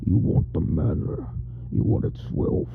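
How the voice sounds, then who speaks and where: A man voicing a sealed evil spirit in a slow, menacing, breathy, gravelly growl for a story reading. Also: narrow, tinny audio, natural voice. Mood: knowing, sinister.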